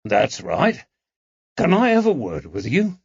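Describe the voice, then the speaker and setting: Gentle constable, voiced by a man, coaxing, lively intonation, muffled recording. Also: fairly high pitch, takes it slowly, gravelly, breathy edge, no echo, voice pushed up, exaggerated voice. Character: gentle, patient male police constable. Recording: dull, dry audiobook recording.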